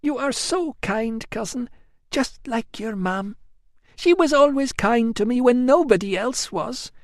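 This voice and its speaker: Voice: mid-range voice, animated, a little slow, dry recording, clean studio sound, voice pushed up. A narrator voicing a self-pitying young Roman woman who pleads and complains in tearful bursts. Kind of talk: audiobook acting.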